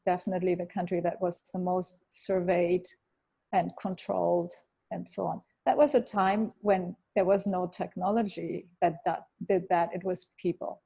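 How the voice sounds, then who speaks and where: Interviewee in her fifties; husky, halting, restarts a lot. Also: on the low side, dark tone. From Germany. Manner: hesitant, many fillers and restarts. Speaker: interviewee, architect. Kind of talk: thoughtful interview answers.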